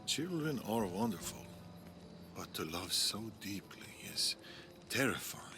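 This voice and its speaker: A man's voice: fairly low pitch, sing-song, a little slow. An actor playing a grave, protective older warrior and grandfather in a dramatic video game, intense and earnest. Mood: wistful, gentle. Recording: loud music behind the voice.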